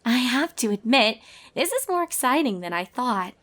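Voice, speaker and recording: A woman voicing a brainy, mild young female pony, speaking in an earnest, hushed, matter-of-fact way in a story reading. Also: on the high side, very animated, normal speed, pitched above the performer's own voice. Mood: quietly interested.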